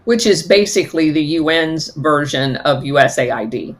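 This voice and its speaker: A man's voice: fairly high pitch, expressive, moderate pace. A man co-hosting a political podcast, dropping in short, wry interjections. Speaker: podcast co-host. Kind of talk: casual political discussion.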